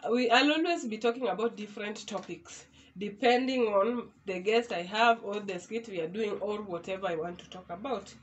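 A woman's voice: mid-range voice, normal speed, muffled recording, slight background noise. A young woman from Kenya with a Kenyan accent, chatting casually to the camera in a Q&A vlog from a small room. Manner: chatty, animated hand gestures. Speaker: YouTuber. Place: small indoor room, in front of a door.

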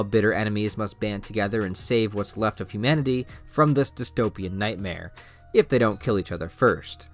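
A man's voice: lowish voice, fairly bright tone. A man giving a scripted promotional narration as a video host. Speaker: YouTube host presenting a project.

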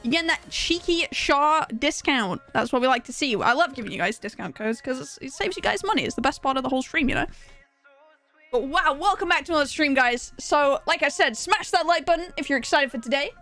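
A young English woman streaming a game, talking fast and chatty with frequent laughs. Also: sits high, lively intonation, bright, forceful tone, faint music behind. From England. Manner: fast, bubbly, laughs often. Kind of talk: casual live-stream chat.

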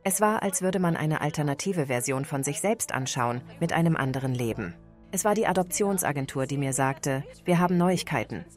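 A woman's voice: deep voice, lively intonation, brisk, soft background music. A woman speaking as a guest in a television studio interview, reflective and earnest. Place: TV studio set.

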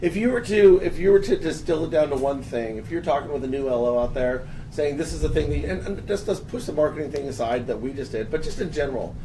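A man in his thirties with a gravelly, hoarse voice, hosting a casual panel discussion with restarts and fillers. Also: fairly high pitch, animated, dark tone. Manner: casual, halting, lots of restarts. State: hoarse from a long three days. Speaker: host. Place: office room with a panel. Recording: distant mic, noisy.

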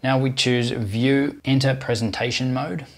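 A man's voice: medium pitch, fairly even intonation, unhurried. Man presenting a step-by-step tutorial. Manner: clear step-by-step instruction. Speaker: tutorial host. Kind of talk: scripted how-to tutorial narration.

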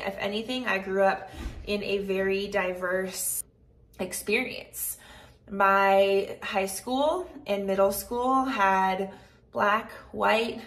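A woman in her thirties speaking in an earnest, conversational way, sharing personal reflections as a casual vlogger. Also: medium pitch. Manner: earnest, conversational, heavy use of "like" and "right". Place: living room at home, indoors. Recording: echoey room.

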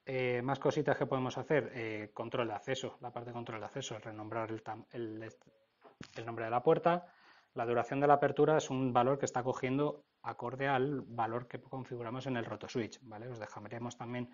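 A man's voice: mid-range voice, fairly even intonation, fairly quick, muffled recording. A man with an accent from Spain narrating an informal technical tutorial, explaining step by step and often checking in. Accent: Spain Spanish. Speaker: technical instructor.

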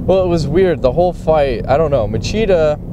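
A man co-hosts a casual sports chat in blunt, slangy language. His voice is a little high, recorded in a car with clear road rumble. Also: animated, a little fast, lo-fi sound. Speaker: podcast co-host. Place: inside a moving car.

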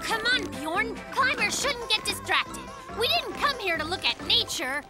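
Excitable, high-pitched cartoon bear cub. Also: unhurried, heavily acted. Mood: scoffing. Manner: brash, exclamatory, sarcastic slangy banter. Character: excitable boastful young bear cub adventurer. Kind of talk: children's cartoon voice acting. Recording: loud cartoon music behind.

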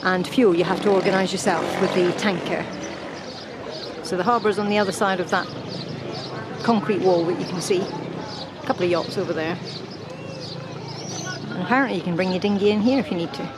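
A woman's voice: on the low side, a little fast, long pauses. A woman giving casual, chatty travel commentary on a handheld camera outdoors. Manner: chatty, informal. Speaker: travel vlogger, sailor. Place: outdoors at harbours and old ruins. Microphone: handheld camera microphone. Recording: clear outdoor background noise, camera audio.

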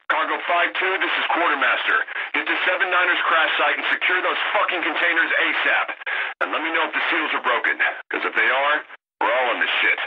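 A man playing a gruff, impatient military base commander in a video game, talking curtly through a radio filter. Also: on the high side, a little fast. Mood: impatient, irritated. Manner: gruff, curt, swearing. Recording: dull, noisy military radio.

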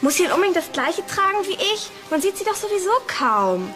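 A woman's voice: extremely high pitch, very animated, brisk, fairly bright tone, soft background music, exaggerated voice. An actress playing a spoiled, bossy young female film star, whiny and haughty, in a German children's drama. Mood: petulant.

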